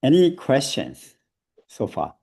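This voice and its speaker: A man with a Korean accent leads a seminar over a video call. His pitch rises and falls a lot, and he laughs easily between hesitant fillers. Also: wildly swooping pitch, takes it slowly, vocal fry, warm tone, husky. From South Korea. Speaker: seminar presenter. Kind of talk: online seminar talk.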